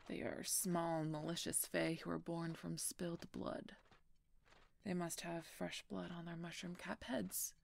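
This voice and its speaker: Woman acting as a gruff lady werewolf knight, lowish super raspy growly voice, dry n teasing, protective, fantasy roleplay audio. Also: level tone, unhurried, full character voice. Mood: instructive, wary. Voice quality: husky, gravelly. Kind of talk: roleplay audio drama, speaking to the listener.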